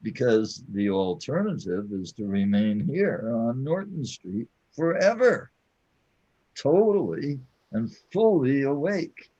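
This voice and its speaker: A man's voice: mid-range voice, big pitch swings, takes it slowly, mellow, lo-fi sound. A man playing a patient, all-knowing companion in a scripted audio drama, explaining the cosmos in a declamatory way.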